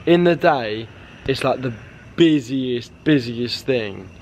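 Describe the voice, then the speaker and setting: A man's voice: mid-range voice, expressive, slow pace, darkish tone. A man, chatting casually and loosely to a handheld camera outdoors. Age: 20s. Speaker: travel vlog companion. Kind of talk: casual chat, swearing. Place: outdoors on a street at night. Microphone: camera at arm's length. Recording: very noisy street, handheld camera.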